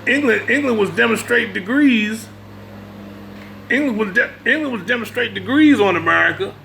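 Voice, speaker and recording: A man lectures on religion in a heavily creaky, gravelly voice that sits fairly high. He is emphatic and informal, circling back over phrases and checking that listeners follow. There is faint noise behind him. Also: lively intonation, fairly quick, bright, forceful tone. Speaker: religious speaker reading from a book and commenting. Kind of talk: informal religious lecture mixing read-aloud passages and commentary.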